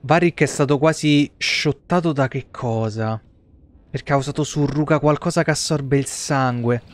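A man's voice: mid-range voice, normal speed. A man in his thirties giving casual, sarcastic, foul-mouthed commentary as a video game streamer. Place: small room at home.

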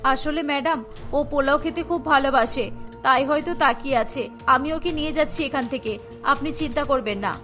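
A woman's voice: high voice, moderate pace, bright, forceful tone, soft background music, voice pushed down. A woman voicing a poor, anxious working mother in a cartoon, humble and worried. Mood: apologetic. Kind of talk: cartoon dialogue.

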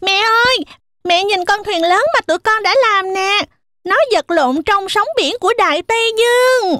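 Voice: very high-pitched, normal speed, bright, forceful tone. A performer voicing a cheerful, eager little goat kid in a children's cartoon. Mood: excited. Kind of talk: cartoon fairy tale dub. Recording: music behind the voice.

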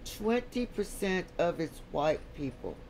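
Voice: medium pitch, sing-song, measured pace, a little mellow, a little hiss or hum. An American video blogger speaking over a screen recording in an unscripted lecture, repeating key points for emphasis. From United States. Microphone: voice-over, not recorded in the pictured place.

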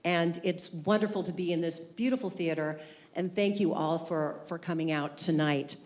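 A woman in her fifties, a veteran journalist, moderating a stage panel with a slightly halting opening. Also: on the low side, a little mellow. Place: theater stage.

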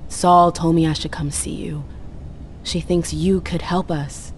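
A woman's voice: on the low side, fairly even intonation, a little slow, a little hiss or hum, natural voice. An actress playing a determined, persuasive female vampire in a video game cutscene, assertive and blunt. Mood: cool, measured.

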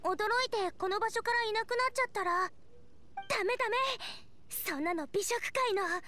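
A woman's voice: extremely high pitch, normal speed, a little hiss or hum. An actress playing a cheerful, eager young girl chef in an anime game, bubbly and earnest. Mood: apologetic. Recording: clean studio voice over soft music.

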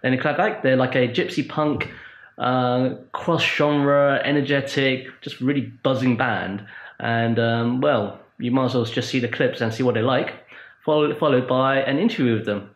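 A man in his thirties hosting a travel vlog, introducing a video in a halting, filler-heavy way from a small room. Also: medium pitch, fairly even intonation, echoey room. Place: small bright room indoors.

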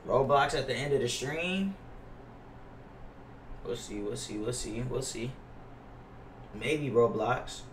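A man's voice: mid-range voice, takes it slowly, long pauses. A young American man livestreaming a game, chatting casually in slang with plenty of swearing and repeated phrases. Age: young adult. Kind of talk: casual livestream chat with viewers. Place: small indoor room at a gaming setup. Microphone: gaming headset. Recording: roomy, distant mic, faint noise, keyboard clicks.

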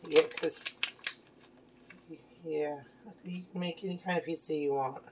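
A woman's voice: sits low, steady tone, unhurried, very noisy. A woman in her thirties chatting casually in a home kitchen as she cooks with her daughter, praising her often, recorded on a phone camera. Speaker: mom cooking with her daughter. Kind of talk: casual cooking vlog chat. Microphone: phone camera on the counter. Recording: clear clatter of dishes and pans.

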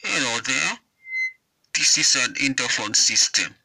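A man's voice: mid-range voice, lively intonation, measured pace, vocal fry, bright, forceful tone, a little hiss or hum, rough recording. Man's voice through a homemade speaker. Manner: test phrases spoken into a homemade intercom mic. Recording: heard through a small amplifier speaker.

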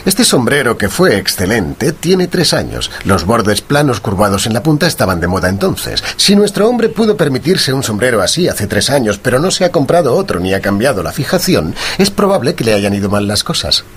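Un actor interpreta a un detective brillante y seguro, didáctico y preciso, en una radionovela. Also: male voice, medium pitch, sing-song, moderate pace, hardly any pauses, slight background noise. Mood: confident, amused. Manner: didactic, assured, precise. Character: brilliant, confident male detective, teasing and methodical. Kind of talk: Spanish-language radio drama dialogue.

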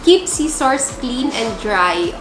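A woman's voice: a little high, animated, slow, echoey room, over music, low-quality recording. A young woman from the Philippines chatting through an unboxing vlog at home, mixing Tagalog and English. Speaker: vlogger. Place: living room at home.

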